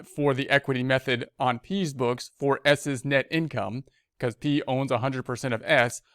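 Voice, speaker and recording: A man with a smooth, clear, mid-pitched voice leads a tutorial in a very dry recording. He talks conversationally, thinking aloud step by step. He often restates a point in slightly different words as he explains it. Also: no room sound. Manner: conversational, repeats and rephrases as he explains. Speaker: accounting instructor. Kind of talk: screencast tutorial, thinking aloud through a worksheet.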